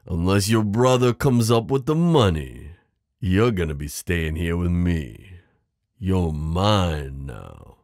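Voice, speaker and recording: A man voicing a smooth, possessive mafia boss in an ASMR roleplay, menacing yet protective, teasing the listener with pet names. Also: deep voice, expressive, takes it slowly, darkish tone, no echo, studio quality, full character voice. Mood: smug menace. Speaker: audio roleplay performer. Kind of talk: ASMR roleplay drama acting.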